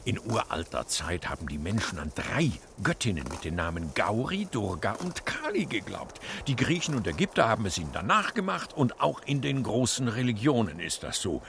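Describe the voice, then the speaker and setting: A man's voice: fairly low pitch, sing-song, moderate pace, vocal fry, hardly any pauses, noisy background. A man playing a fussy, pedantic storyteller and scholar who is easily flustered, in a children's radio play. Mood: lecturing. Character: fussy, indignant scholarly fairy-tale author, adult man.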